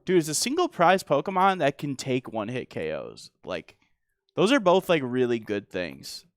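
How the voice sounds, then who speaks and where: A man, a guest player chatting casually while playing a card game, his voice going hoarse. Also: medium pitch, moderate pace, dry recording. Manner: relaxed, lots of "like" and "dude".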